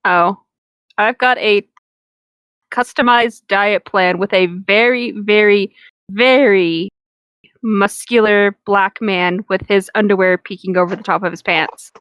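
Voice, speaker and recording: Sarcastic woman with an unhurried delivery. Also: medium pitch, fairly even intonation, fairly bright tone, clear, resonant tone, no echo. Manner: sarcastic, sweary. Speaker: co-host reading fan fiction aloud. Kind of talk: casual comedic commentary and read-along.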